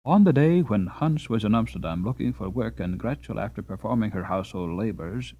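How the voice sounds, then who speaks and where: A man reading a classic children's novel aloud as a slow, expressive audiobook narrator. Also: on the low side, breathy edge, highs cut off. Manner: slow, expressive, lively intonation. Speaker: audiobook reader. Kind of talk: scripted storybook narration.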